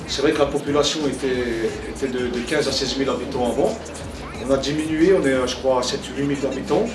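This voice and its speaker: A man's voice: medium pitch, brisk, reverberant, distant mic, music in the background. A man from France chatting casually in a local interview as a shopkeeper.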